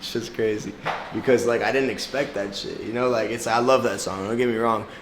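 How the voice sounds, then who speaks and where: A man's voice: fairly low pitch, fairly even intonation, brisk. Chill young guy, portuguese first language, slangy and laughing a lot, echoey noisy room. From South Florida, United States. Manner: laid-back, slangy, laughs often. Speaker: music artist guest. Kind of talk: casual interview chat. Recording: echoey room with background noise.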